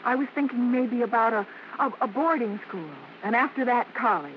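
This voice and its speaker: A woman's voice: on the high side, normal speed, natural voice. An American woman playing a loving, persuasive show-business mother, earnest and coaxing, in old radio drama style. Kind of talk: 1940s-50s radio acting. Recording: muffled, noisy old radio broadcast.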